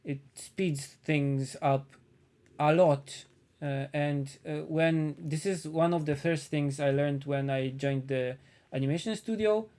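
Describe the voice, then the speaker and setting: A man from Poland with a Polish accent, chatting casually on a live stream as he paints, thinking aloud with frequent pauses. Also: on the high side, steady tone, darkish tone.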